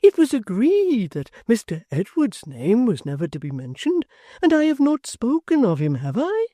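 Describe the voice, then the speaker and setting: A reader voicing a gentle, kindly middle-aged locksmith in a storybook reading, speaking earnestly. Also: male voice, very high-pitched, wildly swooping pitch, normal speed, dry recording, studio quality, voice pushed up, heavily acted.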